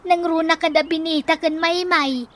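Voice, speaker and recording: A woman's voice: very high-pitched, steady tone, normal speed, fairly bright tone. A woman with a Filipino accent playing a pleading, scheming wife and mother in debt, whining and wheedling in a comedy radio drama. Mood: scheming, coaxing.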